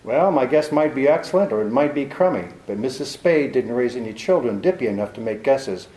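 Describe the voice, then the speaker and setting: An actor playing a tough, sardonic private detective who talks bluntly in 1940s radio style. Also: male voice, medium pitch, expressive, brisk, warm tone, natural voice. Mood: hard, blunt. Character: tough hard-nosed male private detective. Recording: roomy echo.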